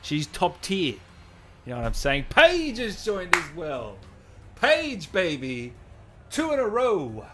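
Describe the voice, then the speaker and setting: A man hosting a casual livestream, reading out viewer messages and thanking people with effusive, drawn-out, hyped shout-outs. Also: sits high, huge pitch swings, a little hiss or hum. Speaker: livestream host and channel owner. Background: music playing behind the voice.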